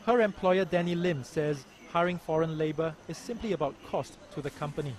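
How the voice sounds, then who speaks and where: A man reading a documentary news report in a measured, even voice. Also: fairly high pitch. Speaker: news narrator. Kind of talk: scripted documentary narration. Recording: voice-over with music behind.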